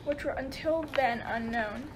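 A woman's voice: a little high, measured pace, background noise, lightly acted. A woman narrating a myth as scripted storytelling for an amateur radio play.

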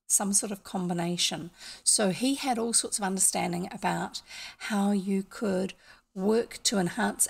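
Older NZ-accented woman streamer, husky voice, rambling explainer, close mic at home. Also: mid-range voice. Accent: New Zealand. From New Zealand. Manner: rambling, explanatory, with frequent asides and restarts. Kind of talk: casual storytelling chat. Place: small home room, indoors.

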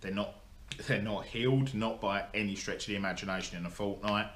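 A man's voice: fairly low pitch, fairly even intonation, brisk, fairly bright tone. A young man giving a casual, rambling update to camera, full of ums and restarts. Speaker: motorsport vlogger. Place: indoor workshop. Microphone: camera in front of him. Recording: echoey workshop, faint hum.

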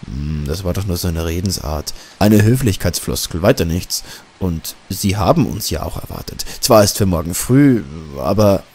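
A man's voice: deep voice, animated, moderate pace, a little mellow, husky, exaggerated voice. Male journalist for a spooky audiobook, courteous, easygoing, talkative, acted by a male narrator. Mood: reassuring. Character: polite, easygoing male journalist visiting a castle.

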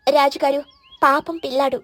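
Woman playing gentle sparrow mother, kind, earnest, soothing, storybook cartoon, highish voice, dry sound. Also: level tone, moderate pace, no echo, soft background music. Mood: pitying.

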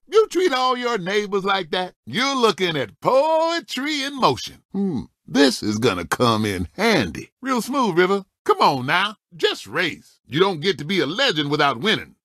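An actor playing a feisty old talking race car in a video game, a folksy, cocky veteran racer firing off exclamatory one-liners. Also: male voice, high-pitched, big pitch swings, normal speed, few pauses, bright, forceful tone, dry recording, clean studio sound, exaggerated voice. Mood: folksy, spirited. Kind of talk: animated video game character voice clips.

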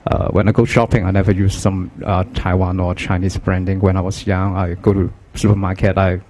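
A young man with a Hong Kong accent talks in a halting, soft-spoken way, his voice lowish and mellow. His first language is Cantonese, and he answers interview questions on a handheld mic at an art fair booth. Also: flat delivery, a little hiss or hum. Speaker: guest artist. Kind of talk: casual interview talk.

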